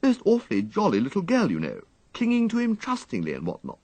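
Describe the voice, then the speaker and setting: Fretful, high-pitched posh young gentleman. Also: wildly swooping pitch, fast, no echo, full character voice. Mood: eager, rambling. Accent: upper-class English. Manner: exclamatory, dithering, drawling dandyish complaint. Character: fretful young upper-class English gentleman, flighty and plaintive.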